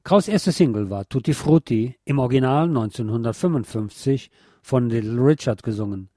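A man with a soft, mellow voice narrates a scripted music history feature as a radio host. The recording is very dry and close, with no room sound around him. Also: medium pitch, lively intonation, moderate pace, dry recording.

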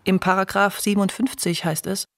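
A woman narrating a radio documentary in a calm, measured, scripted way. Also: mid-range voice, creaky, no echo.

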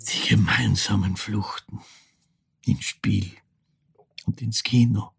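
An actor with an Austrian accent playing a haunted older man who recalls his childhood in a halting, intense dramatic monologue, his voice husky and gravelly. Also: fairly low pitch, fairly even intonation, faint music behind, full character voice. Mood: subdued, wistful. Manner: halting, intense, dropping into near-whispers. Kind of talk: dramatic first-person literary monologue.

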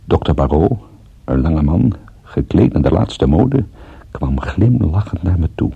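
An actor playing a wry, groggy captive private detective in a Dutch radio drama, with dry, sardonic understatement. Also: male voice, rumbling low, sing-song, measured pace, vocal fry, slightly rough, narrow, tinny audio, full character voice. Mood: wry, weary. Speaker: narrator and lead character. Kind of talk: Dutch radio drama acting, first-person detective narration.

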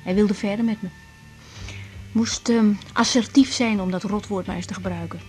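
An actress playing a young woman doctor in a 1980s radio drama, talking hesitantly with soft whispered asides. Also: mid-range voice, steady tone, moderate pace, soft background music, close to an everyday voice. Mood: reflective. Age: young adult. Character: young woman doctor, thoughtful and self-doubting.

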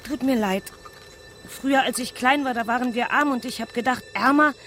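A woman's voice: on the high side, moderate pace, a bit bright, soft background music, close to an everyday voice. Woman acting a poor, apologetic village mother. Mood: apologetic, troubled. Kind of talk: radio drama acting.

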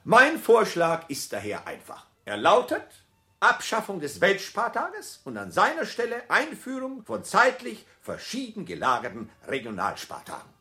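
Ein Schauspieler spielt in einem Hörspiel einen pompösen, pedantischen Antragsteller, der deklamierend Punkt für Punkt absurd logisch argumentiert. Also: male voice, sits high, huge pitch swings, moderate pace, bright, forceful tone, exaggerated voice. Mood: pompous, insistent. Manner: declamatory, pedantic, enumerating points. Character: pompous pedantic male credit applicant, absurdly logical speechmaker. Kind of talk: radio play acting.

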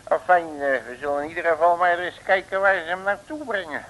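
An actor playing a bearded, boastful, grumbling detective in a comic Dutch radio drama from around 1960, blustering in colloquial hesitant speech. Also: male voice, high-pitched, fast, a bit bright. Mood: puzzled. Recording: noisy old radio recording.

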